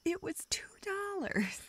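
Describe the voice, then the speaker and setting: A woman acting a self-deprecating, dryly sarcastic young woman confiding haltingly in a close friend, in an intimate, naturalistic audio roleplay. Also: extremely high pitch, a little hiss or hum, heavily acted. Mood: dry, wry. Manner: hesitant, halting, deadpan joking.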